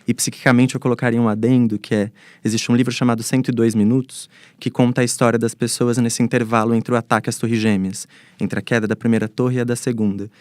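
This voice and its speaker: A man's voice: medium pitch, flat delivery, fast talker, hardly any pauses, a little mellow, clean tone, no echo. A Brazilian man giving reflective, articulate explanations as an expert guest in a panel interview, building his argument step by step.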